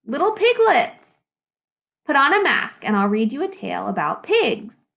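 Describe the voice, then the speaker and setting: A woman's voice: sits high, sing-song, measured pace, gravelly, a bit bright, pitched above the performer's own voice, exaggerated voice. A woman voicing a kind, book-loving village man in a children's storybook, earnest and eager.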